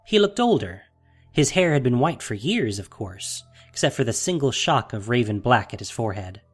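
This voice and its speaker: Male narrator, medium pitch, dramatic scripted delivery for a fantasy audio story. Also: big pitch swings, moderate pace, slightly rough, faint music behind.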